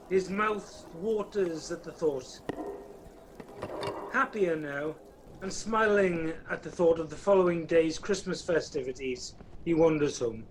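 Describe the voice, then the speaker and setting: High-voiced male radio play narrator. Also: rough recording. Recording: faint background noise, home video-call recording.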